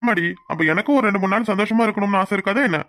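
A man's voice: high-pitched, racing, sharp tone, no room sound. A man playing an affectionate, teasing young husband in a domestic drama, coaxing, familiar and apologetic. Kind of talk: domestic serial drama acting.

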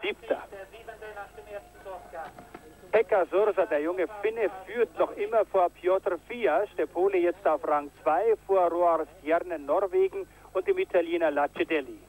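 A man giving live commentary on a ski jumping event, getting excited on big jumps, from an old broadcast tape. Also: high voice, moderate pace, few pauses, poor audio. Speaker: sports commentator.

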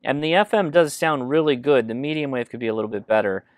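A man's voice: mid-range voice, fast, no echo. A man giving a casual hands-on product review, informally thinking aloud as he goes. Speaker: host of a radio gear review channel. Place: indoors at a desk.

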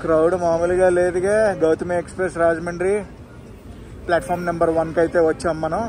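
A man's voice: a little high, completely flat delivery, quick pace, a little mellow. A man vlogging a train journey at night, chatting to viewers as stations pass. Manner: chatty, addresses viewers directly. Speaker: travel vlogger. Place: inside a moving train at night. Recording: noisy train carriage recording. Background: train rattle and station noise.

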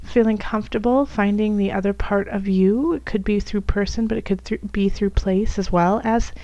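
Casting: female tarot reader, raspy and hoarse, gravelly edge, mellow tone, gentle unhurried address, dull home recording. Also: medium pitch, steady tone, no echo. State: hoarse from bronchitis, voice coming and going. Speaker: tarot reader and channel host. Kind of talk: soft spoken tarot card reading to viewers.

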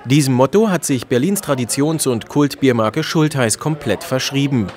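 A man's voice: mid-range voice, moderate pace, a little mellow. A man reading scripted promotional narration for a television report. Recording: voice-over with crowd sounds behind.

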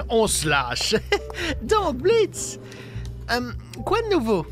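Man acting a refined, slow-drawling smitten demon prince. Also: very high voice, wildly swooping pitch, fairly bright tone, heavily acted. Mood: giddy. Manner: theatrical, polite, flustered. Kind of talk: French fan dub of an animated series. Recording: music behind the voice.

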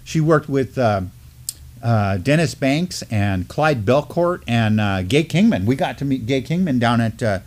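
A man's voice: mid-range voice, lively intonation, measured pace, a little mellow. A man in his fifties co-hosting a news talk, telling stories and commenting conversationally in an earnest, emphatic way. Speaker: co-host of a Native news live stream. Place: indoor studio at a desk with a backdrop.

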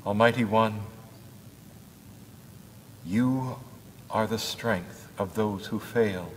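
An older man, a pastor, leading prayers in a church in a slow, measured liturgical cadence. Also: medium pitch, slight background noise. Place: church sanctuary at a lectern. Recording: church hall with long reverb.

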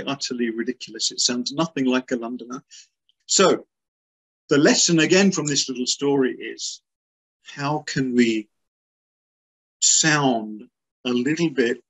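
An older man from England talks over a laptop webcam from a small room at home. His voice is a touch husky and mid-pitched. He explains with animated emphasis, often repeating his words for stress. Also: poor audio. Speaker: author and guest. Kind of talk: video call interview. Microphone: laptop webcam on a video call.

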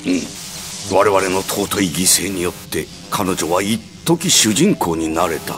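A man's voice: deep voice, lively intonation, a little slow, a bit bright. A man voicing a pompous alien starship captain in an anime drama CD, grandiose and prone to stammering. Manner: grandiose, stammers when panicked. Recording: music playing underneath.

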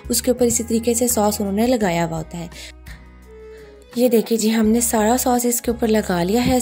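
A woman hosting a home cooking video, guiding each step in a steady voice-over and often repeating "ye dekhiye ji". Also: on the high side. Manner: warm, reassuring, repeats "ye dekhiye ji" and "ji janab". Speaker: cooking channel host.

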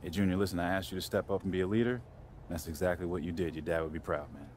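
A man's voice: deep voice, steady tone, very fast, darkish tone, slight background noise, close to an everyday voice. An actor playing a firm, fatherly college basketball coach who speaks in a commanding, motivational way in a video game. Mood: approving, steady. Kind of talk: video game cutscene acting.

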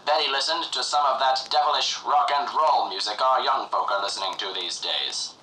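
A man's voice: mid-range voice, fairly quick, echoey room, distant mic, background noise. A man narrating in the style of a 1950s educational filmstrip, with an earnest, pompous, moralizing announcer delivery. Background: music.

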